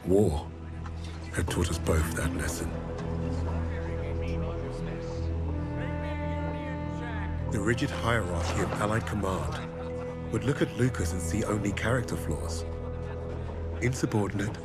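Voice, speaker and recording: Low-voiced male war narrator, wry played by an actor. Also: loud music behind the voice, natural voice. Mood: somber. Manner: measured, wry storytelling. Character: stern, sardonic male soldier narrating war story. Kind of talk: scripted cinematic war narration.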